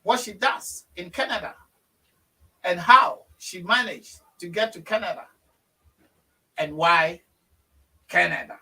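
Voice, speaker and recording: A man with a Ghanaian accent hosting a casual video interview, speaking slowly with frequent fillers and pauses. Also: high-pitched, expressive, gravelly, recorded from a distance. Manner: warm, slow, hesitant with many fillers. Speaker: host.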